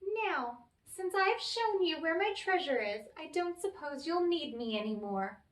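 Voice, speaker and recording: A woman's voice: very high-pitched, lively intonation, a little slow, a bit bright, voice pushed up, full character voice. A woman voicing a sly, cranky little old leprechaun man in a storybook tale, with a crafty, folksy manner. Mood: slyly polite. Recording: roomy, echoey, distant microphone.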